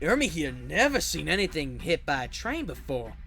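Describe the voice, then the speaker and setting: A performer voicing a gruff, menacing hillbilly thug with a rustic, ungrammatical drawl in a comic fan dub. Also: medium pitch, big pitch swings, moderate pace, fairly bright tone, faint music behind.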